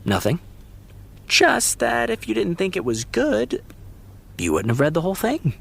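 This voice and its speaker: An actor playing a wry, self-mocking but loyal TV heartthrob in a naturalistic audio drama. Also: male voice, sits high, huge pitch swings, a little slow, creaky, a bit bright, husky, exaggerated voice. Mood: earnest. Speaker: actor in scripted fiction podcast.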